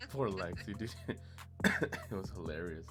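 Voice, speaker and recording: A lively, deep-voiced man joking on stream. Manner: playful, joking, laughs often. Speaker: stream host. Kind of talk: casual chat. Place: his bedroom at home.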